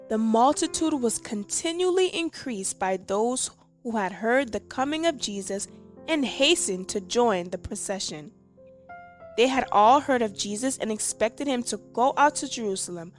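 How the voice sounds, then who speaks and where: A woman reading a scripted devotional with deliberate, careful phrasing. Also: on the high side. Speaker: devotional reader. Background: background music.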